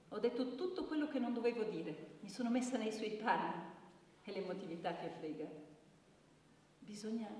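An actress playing an earnest women's shelter counsellor, delivering a theatrical testimony in a measured, deliberate way with weighty pauses. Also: female voice, medium pitch, darkish tone, slight background noise, natural voice. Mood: earnest, confiding. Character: earnest female anti-violence centre counsellor giving testimony. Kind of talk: dramatic theatrical monologue. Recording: echoey hall with long reverb, distant mic.

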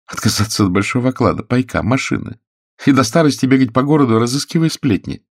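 Casting: male narrator as a theatrical, spiteful woman of the stage, raised pitch, lively swings, audiobook dialogue. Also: fast talker, a little hiss or hum, close to an everyday voice. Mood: scolding, pressing. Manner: spiteful, ironic, melodramatic. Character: haughty, shrill actress woman, venomous and theatrical.

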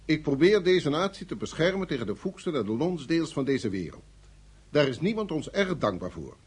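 A senior intelligence chief in a radio play, harried but diplomatic. His voice is very rough and breathy, set a little high for a man, and swings expressively. He speaks formally and with heavy emphasis. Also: big pitch swings, fairly quick. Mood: firm, defensive. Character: harried senior security service chief, exasperated yet diplomatic. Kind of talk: Dutch radio drama acting.

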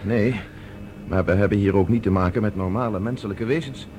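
An actor playing a calm, reasoning space expedition leader with a measured, decisive way of explaining, in a science-fiction radio drama. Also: male voice, lowish voice, close to an everyday voice. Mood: explanatory. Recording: noisy old radio drama recording.

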